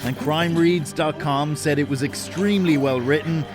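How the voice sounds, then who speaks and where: A man's voice: fairly high pitch, moderate pace. Male horror-drama trailer narrator over music. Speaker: promo announcer. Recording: music bed under the voice.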